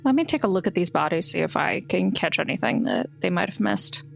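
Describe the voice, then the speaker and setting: Woman player at a tabletop game, lots of vocal fry, informal halting chat, music behind. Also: fairly low pitch, animated. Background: background music.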